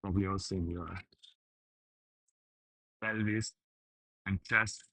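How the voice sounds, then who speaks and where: A man guiding a meditation, speaking gently in short, halting phrases with pauses. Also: sits low, fairly even intonation, no room sound. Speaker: meditation teacher, guide. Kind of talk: guided meditation, unscripted instruction.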